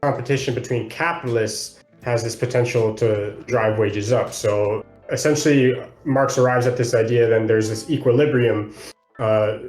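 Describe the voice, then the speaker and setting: A man talks in a roomy, echoing space with soft music behind him. He is a podcast co-host who explains things casually, feeling his way through the phrasing at an ordinary male pitch. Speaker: freelance video editor. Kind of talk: casual book discussion, explaining. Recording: roomy echo, faint music underneath.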